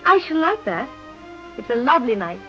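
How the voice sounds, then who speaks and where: A woman's voice: fairly high pitch, big pitch swings, moderate pace, lo-fi sound, over music. An actress playing a decorous, determined young well-born Englishwoman in a period radio drama, with refined, formal English diction. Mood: polite, hesitant.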